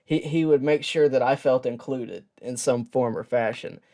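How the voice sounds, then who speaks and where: Male sports host, medium pitch, soft-edged mellow voice; reflective, sincere tribute delivery with thoughtful pauses. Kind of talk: personal tribute talk to camera.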